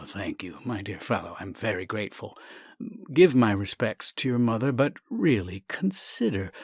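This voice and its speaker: A man's voice: fairly low pitch, creaky, husky, no echo, studio quality, full character voice. A man voicing a hesitant, embarrassed, proper family-man doctor in a comic story, fussy and hemming and hawing. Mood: awkward politeness. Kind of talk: acted reading of a comic short story.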